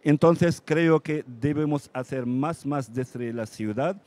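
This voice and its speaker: Halting man, many restarts. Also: fairly high pitch, darkish tone. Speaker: mayor. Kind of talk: conference panel talk. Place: conference panel.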